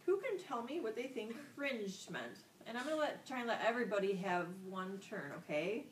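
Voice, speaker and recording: A woman's voice: fairly high pitch, lively intonation, normal speed. A woman working as an elementary school teacher leads an interactive vocabulary lesson, repeating key words and prompting the children with questions. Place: classroom, recorded from a distance. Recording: roomy, distant microphone with faint background noise.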